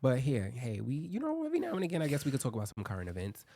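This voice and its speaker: A man with a light voice co-hosting a podcast, chatting casually and telling stories in an animated, rambling way with frequent restarts. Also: medium pitch, rapid-fire. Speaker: podcast co-host. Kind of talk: casual chat and storytelling.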